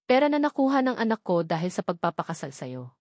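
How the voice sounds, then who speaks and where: A woman's voice: lowish voice, big pitch swings, quick pace, darkish tone, dry recording, clean studio sound. A woman voicing a bitter, angry elderly mother who reproaches in defense of her son, in an audio drama. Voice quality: hard, trembling voice. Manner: accusing, reproachful.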